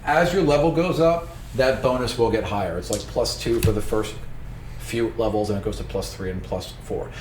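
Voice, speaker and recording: A man's voice: lowish voice, moderate pace. A man working as a game master, explaining a tabletop game world and its rules in a conversational, explanatory way. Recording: roomy, distant mic with faint noise.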